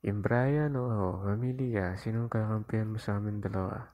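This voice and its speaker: A man's voice: fairly low pitch, steady tone, a little fast, darkish tone, no echo, natural voice. A Filipino man playing a jealous, possessive, sulky and playfully whiny young boyfriend in an improvised ASMR roleplay. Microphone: lapel mic into a phone, close.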